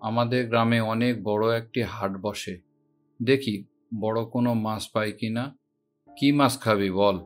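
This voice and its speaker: A man voicing a kindly, frail old village grandfather who talks slowly and fondly, heard from a distance over faint music. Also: fairly low pitch, steady tone, pitched below the performer's own voice, lightly acted. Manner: slow, fond, scolding gently.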